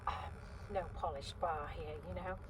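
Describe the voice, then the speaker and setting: A woman's voice: fairly low pitch, steady tone, moderate pace. A woman in her fifties, originally from Wales, chatting casually to camera from inside her parked van, rambling with lots of ums and restarts. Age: 50s. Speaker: vlogger. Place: inside a parked van by a river on a windy day. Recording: wind noise in a parked van. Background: gusting wind outside.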